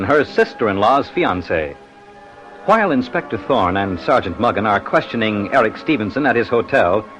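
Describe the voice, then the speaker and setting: A man's voice: mid-range voice, fairly bright tone, rough recording. An American man working as a polished, formal radio announcer and narrator in a scripted 1950s style.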